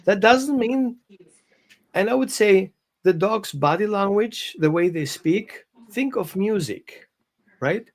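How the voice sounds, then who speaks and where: Brief: man, high voice, dog trainer explaining with examples. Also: lively intonation, slow pace. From Greece and Austria. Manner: non-native phrasing, explains with examples and quoted dog thoughts. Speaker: dog behavior consultant. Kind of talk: explaining on a live show.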